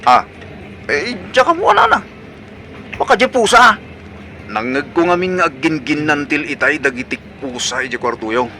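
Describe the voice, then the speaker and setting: Over clear background noise, a pushy young son with an Ilocano accent in a radio comedy drama. His voice is high for a man and swings about excitedly as he wheedles and protests. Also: moderate pace, pauses often. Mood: flustered excuses.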